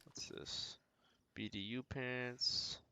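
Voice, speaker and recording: A man's voice: medium pitch, fairly even intonation, drawn-out words, pushed and bright, smooth. A man giving casual video game commentary as a streamer, thinking aloud in short, slangy remarks.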